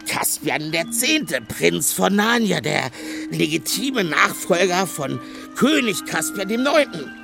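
An actor playing a stocky, hearty, talkative dwarf in a children's audio drama, with a gravelly, creaky voice and a blustery, excitable manner. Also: male voice, high voice, slow pace, over music, full character voice. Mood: explaining, hesitant. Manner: blustery, excitable, colourful speech.

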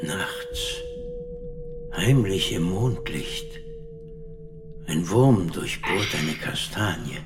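Eerie, very slow, deep dream voice. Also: male voice, wildly swooping pitch, over music, full character voice. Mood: solemn, dreamlike. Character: eerie dream voice reciting a poem. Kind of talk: German radio play acting.

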